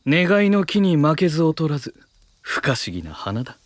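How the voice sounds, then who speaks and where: A man's voice: on the high side, sing-song, normal speed, dry recording. A man playing a dignified, curious young nobleman, speaking in a formal, stately way in an anime audio drama.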